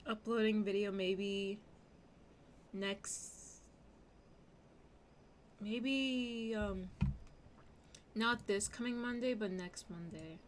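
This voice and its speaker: A woman's voice: mid-range voice, a bit bright. Scratchy-voiced young woman streamer. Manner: rambling, many fillers. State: hoarse. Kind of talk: casual stream chat. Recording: music playing underneath.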